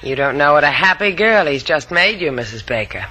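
A woman playing a sarcastic young sidekick in an old radio drama, deadpan and teasing, with a low voice. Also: fairly quick, exaggerated voice, poor audio. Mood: sardonic. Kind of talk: 1940s-50s radio drama acting.

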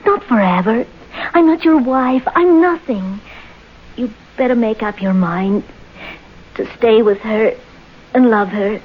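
Seductive mistress on dull vintage radio. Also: female voice, on the high side, takes it slowly, long pauses. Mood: pressing, plaintive. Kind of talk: old-time radio drama acting. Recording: dull old radio recording, faint noise.

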